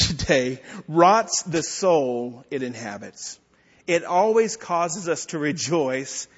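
Pastor preaching in a reverberant hall. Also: male voice, fairly high pitch. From Texas. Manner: measured preaching with pointed asides. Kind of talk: sermon. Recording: dull lo-fi sound in a reverberant hall.

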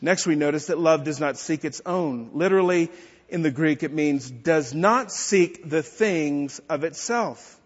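A man preaching a measured sermon, with pointed asides to the congregation. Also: on the high side, breathy edge. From Texas. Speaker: pastor. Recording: dull lo-fi sound in a reverberant hall.

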